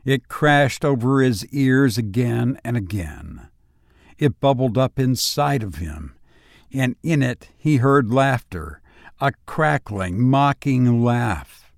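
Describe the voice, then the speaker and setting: A man hosting and narrating horror stories in a dramatic, scripted style, with deliberate, suspenseful pauses. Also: mid-range voice, expressive, vocal fry, no echo, clean studio sound. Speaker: narrator and host of audio story show.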